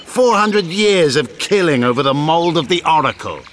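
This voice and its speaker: An actor playing a proud, bitter elf rebel commander in a video game, speaking in measured, eloquent, scornful phrases. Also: male voice, a little high, expressive, fairly bright tone, slight background noise. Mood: bitter, contemptuous. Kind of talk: dramatic video game acting. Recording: clear forest ambience behind voice.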